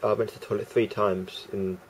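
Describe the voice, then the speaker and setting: Croaky, rambling low-voiced man. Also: flat delivery, brisk, slight background noise, poor audio. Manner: rambling, hesitant, full of fillers and restarts. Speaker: vlogger. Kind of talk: casual monologue to camera.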